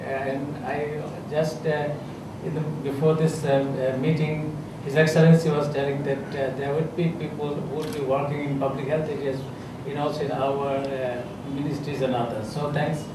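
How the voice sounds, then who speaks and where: A middle-aged man with a Bangladeshi accent speaks at a podium in a conference room, his slightly high voice thick with echo. He hesitates often and fills pauses with uh as he feels his way through his points. The mic sits well away from him and steady noise runs beneath. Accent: Bangladeshi English. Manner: hesitant, many uh fillers and restarts. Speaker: government health official speaking on a panel. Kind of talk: unscripted panel remarks. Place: conference room with a seated audience. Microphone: podium microphone.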